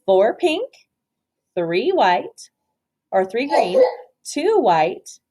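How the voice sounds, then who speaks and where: A woman's voice: fairly high pitch, big pitch swings, extremely slow, dark tone, exaggerated voice. A woman voicing a helpful boy who lists his things in a matter-of-fact way in a children's storybook. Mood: helpful, listing.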